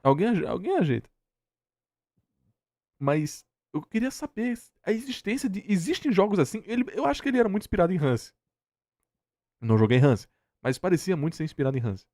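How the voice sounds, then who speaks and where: A man's voice: high voice, very animated, a little fast, long pauses, a little mellow, no echo, clean studio sound. A Brazilian man reacting casually and with strong opinions, emphatically repeating himself for stress. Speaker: reaction streamer.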